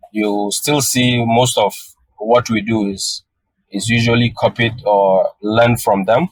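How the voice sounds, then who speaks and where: A young man from northern Nigeria with a Nigerian accent, native Hausa speaker, answering interview questions in a measured way over a call, with frequent fillers and restarts. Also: lowish voice, dry recording, low-quality recording. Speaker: guest photographer.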